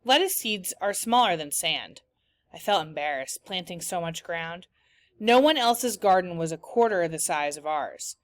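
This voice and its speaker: A woman teacher reading a children's novel aloud, with clear storytelling after a few discussion questions. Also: lowish voice, animated, measured pace, dry recording.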